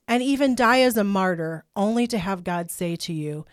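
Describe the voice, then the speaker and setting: A woman's voice: mid-range voice, animated, moderate pace, dry recording, studio quality. Chatty older woman podcast host. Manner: chatty, laughs and jokes, talks through a cough drop. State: has been sick, clears throat.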